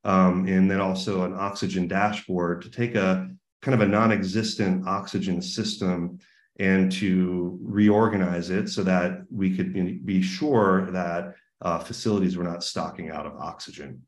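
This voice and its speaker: A man with a very low, deep voice delivers a keynote over a webinar. He explains earnestly, stopping often on ums and restarting phrases. The sound is roomy, with a noticeable echo from the space around his computer mic. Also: fairly even intonation, measured pace. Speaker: keynote speaker. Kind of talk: webinar presentation.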